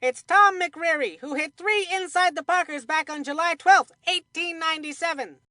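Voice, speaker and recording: Casting: young folksy baseball commentator in a cartoon sports game, high bright voice, dry close sound, exclamatory trivia buff. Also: female voice, moderate pace, dry recording. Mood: excited quizmaster.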